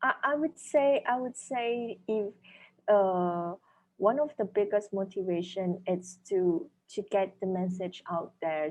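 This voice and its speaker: A woman with a Malaysian accent, a native Chinese speaker, answering interview questions as an author in a thoughtful, measured way, searching for words. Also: fairly low pitch, a little mellow, poor audio. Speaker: author and dentist guest.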